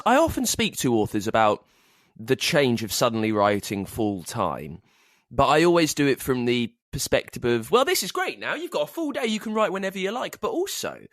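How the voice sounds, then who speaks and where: Animated male interviewer, dry close sound. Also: fairly high pitch, no echo. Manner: long, reflective, roundabout questions. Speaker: podcast host interviewing authors. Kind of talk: conversational interviewing.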